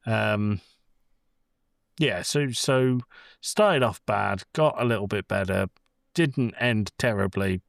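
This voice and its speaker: A man's voice: mid-range voice, gravelly, no room sound, studio quality. A man co-hosting a casual podcast, giving blunt opinions in a conversational way with some swearing. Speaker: podcast co-host. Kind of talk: casual movie discussion.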